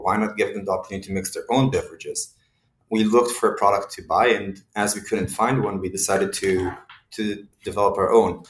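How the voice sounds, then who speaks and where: Man from Israel, low voice, roomy sound. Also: far from the mic. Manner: thoughtful, many fillers and restarts. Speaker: company CEO, guest. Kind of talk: interview answers.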